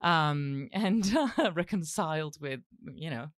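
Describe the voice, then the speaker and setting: A woman, chatting as an interview guest, animated and laughing often with many restarts. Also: deep voice, slow, dry recording, studio quality. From Italy (Milan). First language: Italian. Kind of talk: casual interview chat.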